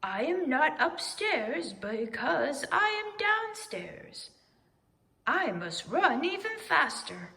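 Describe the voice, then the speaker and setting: A woman voicing a friendly, simple-hearted male owl from a storybook, speaking earnestly and deliberately. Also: high voice, very animated, gravelly, sharp tone, long reverb tail, pitched above the performer's own voice, full character voice. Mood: puzzled, determined.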